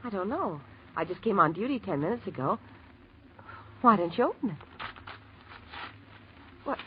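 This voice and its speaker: Playful radio-drama receptionist played by a woman, animated pitch. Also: moderate pace, close to an everyday voice. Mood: curious, prodding. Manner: playful, teasing, breezy. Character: chatty, teasing young female hospital receptionist. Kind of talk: 1940s radio acting. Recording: muffled old radio recording, faint noise.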